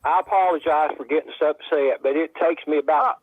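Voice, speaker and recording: A man's voice: a little high, brisk, husky, no room sound. A man calling in to a talk show, blunt and heated, repeating himself as he talks. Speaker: caller. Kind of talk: casual call-in chat. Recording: tinny phone line.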